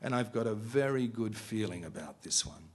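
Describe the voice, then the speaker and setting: A man's voice: on the low side, lively intonation, moderate pace. A man reading aloud, voicing a crude, boastful, foul-mouthed male salesman in an everyday voice. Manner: swaggering, profane, gleefully boastful.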